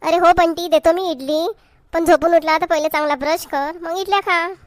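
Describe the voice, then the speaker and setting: Woman acting cartoon mother, high-pitched, Varhadi Marathi accent, homely, fussing, caring, dry sound. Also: fairly even intonation, moderate pace, no room sound. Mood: explaining. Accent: Varhadi Marathi from Vidarbha. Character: Indian mother, fussing over her children, caring. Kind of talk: homemade comedy cartoon dialogue.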